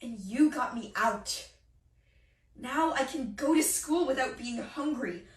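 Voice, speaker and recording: A woman's voice: high voice, lively intonation, takes it slowly. An amateur actress performing a stage monologue as an angry, wounded teenage girl who speaks with emphatic, impassioned feeling. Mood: hurt, earnest. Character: angry, wounded teenage girl grateful to her rescuing sister. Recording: roomy, distant microphone.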